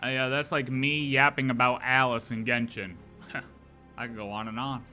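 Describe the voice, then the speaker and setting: A man's voice: medium pitch, level tone, moderate pace, sharp tone, faint music behind. A young man casually reacting to a game on a live stream, rambling through his theories.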